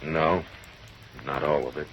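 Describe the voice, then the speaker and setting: An old west marshal, deep calm voice, measured and firm, noisy old radio sound. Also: male voice, exaggerated voice. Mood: firm, stern. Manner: measured, laconic, hesitant pauses. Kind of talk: old-time radio western acting with narration.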